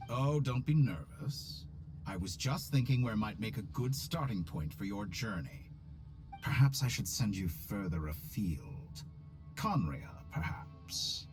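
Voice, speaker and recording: An actor playing a smug, coolly polite scheming villain with godlike power in a video game, speaking in a measured, condescending, theatrical way. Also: male voice, on the low side, expressive, a little mellow, soft background music. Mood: condescending, musing. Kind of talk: dramatic video game voice acting.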